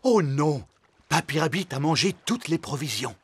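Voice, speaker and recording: A man's voice: a little high, very animated, moderate pace, husky, heavily acted. A man narrating a scripted children's cartoon as its storyteller. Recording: loud music under the voice. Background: music.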